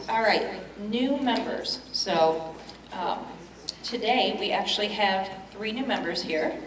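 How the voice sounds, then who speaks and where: A woman's voice: medium pitch, noisy background. A woman running a club business meeting, casual with many fillers. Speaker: meeting host. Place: meeting hall. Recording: echoey hall, distant mic, crowd.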